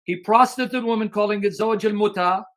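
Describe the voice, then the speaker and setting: A man debates on a live stream with a high, bright, tense voice. He mocks, cuts in constantly and fires off accusations. His manner stays combative and scornful. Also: quick pace. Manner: mocking, interrupting, combative.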